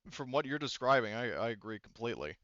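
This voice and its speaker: A man voicing a composed, cooperative woman ship's officer in a tabletop game, speaking in a measured, polite, official way. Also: medium pitch, sing-song, a bit bright, no echo, lo-fi sound. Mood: earnest, cooperative.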